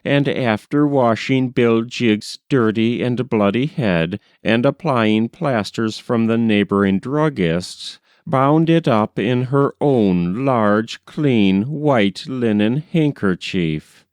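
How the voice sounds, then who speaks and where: A man reading a literary story aloud as an audiobook narrator, in a measured, expressive storytelling manner. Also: mid-range voice, clear, resonant tone, dry recording.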